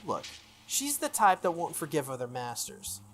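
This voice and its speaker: A video game player reading text aloud and commenting casually, with a slightly halting delivery and stumbles. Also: medium pitch, huge pitch swings, a little hiss or hum. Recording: music behind the voice. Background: background game music.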